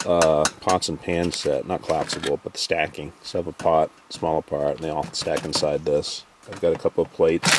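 A man in his forties at an outdoor lakeside campsite, casually walking through his camping gear in a relaxed, list-like way with lots of "uh" and "you know". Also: low-pitched, noisy background. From Maine, US. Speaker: canoe trip vlogger. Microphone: handheld camera.

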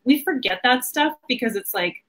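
A woman's voice: a little high, expressive, normal speed, a bit bright. A woman in her thirties hosting a livestream, chatting casually and informally. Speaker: host of a marketing livestream. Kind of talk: casual conversational interview. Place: small home office indoors.

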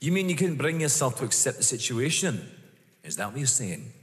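A man acting in an audio drama as a politely persistent police inspector, formal and probing, with a husky voice. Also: mid-range voice, big pitch swings, brisk. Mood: patient, probing. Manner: formal, deferential but probing. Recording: echoey hall-like reverb.

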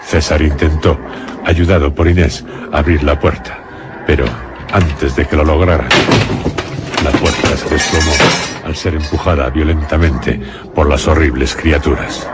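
A man's voice: very deep voice, fairly even intonation, a little slow, heavy background noise. A man narrating a scripted suspense radio play in a solemn storytelling manner. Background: prominent dramatic music.

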